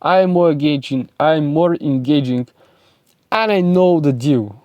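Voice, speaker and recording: A man's voice: fairly high pitch, normal speed, a little mellow, no echo, muffled recording. A young man with a Bulgarian accent, giving an emphatic, repetitive motivational talk straight to camera. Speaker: self-improvement vlogger and speaking coach.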